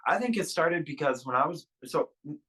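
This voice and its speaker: A young man, talking as a guest on a video call in a candid interview, rambling and halting with many fillers and restarts. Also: fairly high pitch, expressive, sharp tone, husky. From Russia. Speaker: podcast guest.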